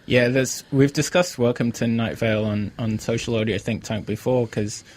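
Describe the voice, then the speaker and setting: Australian man, medium pitch, podcast interviewer, casual chat, studio setting. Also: steady tone, normal speed, darkish tone. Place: radio studio.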